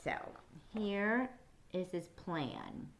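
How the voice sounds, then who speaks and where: A woman reading a picture book aloud to children, expressive and dramatic, with explanatory asides. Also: fairly low pitch, drawn-out words, slight background noise. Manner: expressive, dramatic, dropping into hushed whispers. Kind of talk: animated read-aloud with teaching asides.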